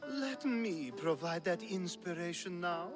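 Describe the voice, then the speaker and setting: A man's voice: high-pitched, very animated, unhurried, over music. A man acting a flirtatious, flamboyant Greek aristocrat in a video game, teasing and theatrical in a drawl.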